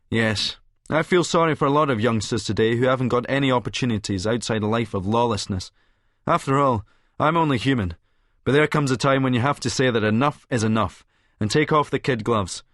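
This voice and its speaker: An actor with a Scottish accent narrating an audiobook as a cynical, vain Edinburgh publican, smug, sardonic and wordy. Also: male voice, medium pitch, fairly bright tone, no echo, studio quality, close to an everyday voice. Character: cynical, vain male Edinburgh publican and schemer, smugly self-satisfied. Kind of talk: first-person audiobook narration in character.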